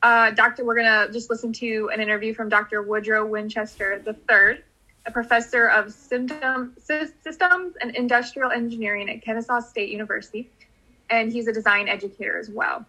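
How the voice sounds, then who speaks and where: A young woman from Missouri co-hosts a podcast in a bright, slightly breathy voice. She sounds friendly and conversational, and she uses a few fillers. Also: a little high, fairly even intonation, fairly quick, rough recording. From outside St. Louis, Missouri. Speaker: podcast co-host, graduate student. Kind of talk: podcast co-hosting.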